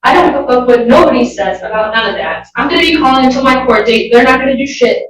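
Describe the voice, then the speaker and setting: Echoey far off lady prosecutor, highish voice, matter of fact, formal court talk. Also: fast talker. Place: indoor courtroom. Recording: very echoey courtroom, distant mic.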